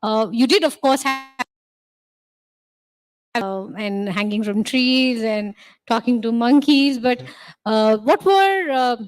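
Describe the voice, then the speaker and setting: A woman's voice: a little high, slow pace, pauses often, rough recording. Encouraging female moderator. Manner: gentle, encouraging questions with fillers. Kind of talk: festival stage interview. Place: festival stage.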